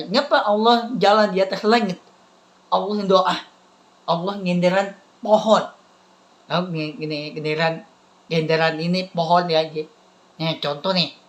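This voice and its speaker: A man, talking casually to viewers in a halting, repetitive way that keeps circling back to the same phrases. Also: high voice. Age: 30s. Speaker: vlogger. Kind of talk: casual rambling talk to viewers.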